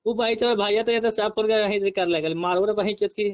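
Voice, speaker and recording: A man's voice: high voice, steady tone, fairly quick. A man with a Bihari accent chatting casually and coarsely on a recorded phone call.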